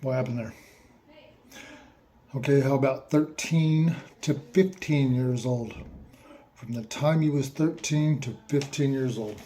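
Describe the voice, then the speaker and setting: A man slowly asking listeners a series of reflective questions, with playful asides in a surprised voice. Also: mid-range voice, mellow, a little hiss or hum. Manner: slow, repetitive questions with mock-surprised asides.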